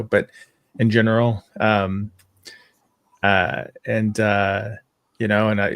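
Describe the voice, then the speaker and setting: A man's voice: lowish voice, no echo. A man speaking casually and off the cuff as a fan panelist. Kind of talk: casual chat.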